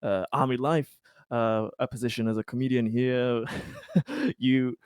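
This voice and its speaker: A man's voice: medium pitch, animated, a little slow, a little mellow, dry recording, clean studio sound. A man playing a courteous, eager-to-please council official in a tabletop game, speaking in a polite, rambling, stammering way. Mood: friendly, encouraging. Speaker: tabletop roleplay player. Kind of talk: improvised in-character tabletop dialogue.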